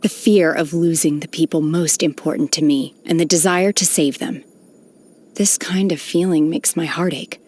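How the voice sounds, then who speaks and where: Stoic young female exorcist, lowish voice, formal, measured, detached, melancholy undertone, video game character. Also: a little mellow. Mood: pensive, melancholy. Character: stoic, solemn young adepti-trained exorcist woman, aloof yet melancholy.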